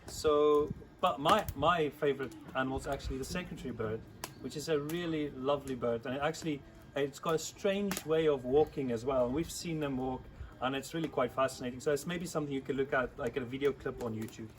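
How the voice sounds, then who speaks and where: A man whose first language is Afrikaans, from South Africa, chatting casually to a youth club and teaching words slowly. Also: medium pitch, a little hiss or hum. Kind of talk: informal online talk to children.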